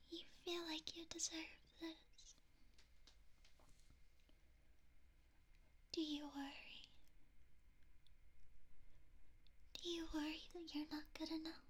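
A woman plays a doting, obsessive young intruder in a whispered audio roleplay. She breathes every word in a very high, soft whisper that barely rises or falls. She talks slowly and stumbles over her restarts. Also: exaggerated voice. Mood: tender whisper.

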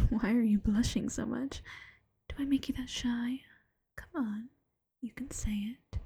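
A doting bunny-girl girlfriend, played by a woman, coaxes and teases in a slow, breathy whisper. Her hushed tone is dark and mellow, pitched a little high. The sound is close and dry, with no room around it. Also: fairly even intonation, no echo, full character voice. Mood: teasing, coaxing. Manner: slow, coaxing and teasing. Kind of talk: ASMR roleplay.

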